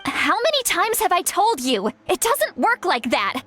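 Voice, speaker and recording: A woman's voice: extremely high pitch, very animated, unhurried, sharp tone, heavily acted. A woman playing a stern, exasperated guardian who scolds like an elder in a video game. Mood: exasperated scolding.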